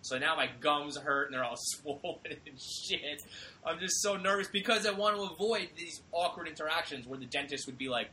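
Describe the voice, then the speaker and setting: A man's voice: a little high, animated, moderate pace, pushed and bright, a little hiss or hum. A man hosting a podcast, teasing his co-hosts and steering a casual chat. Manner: teasing, wry, leads the talk. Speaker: podcast host.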